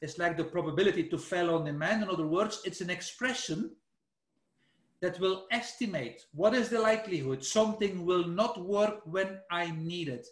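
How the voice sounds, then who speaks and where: A man's voice: sits high, normal speed, roomy sound. A man from Belgium with a Flemish accent, presenting a technical webinar in a loose, explanatory way with self-corrections. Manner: informal and explanatory, with self-corrections and "let's say" fillers. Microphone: screen-shared webinar, speaker not seen.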